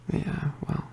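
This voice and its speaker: A performer voicing an injured, poisoned young male witch in an ASMR roleplay, weak and apologetic, mostly whispering in halting, pained breaths. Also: dark tone. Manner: halting, pained, with sighs and throat clearing.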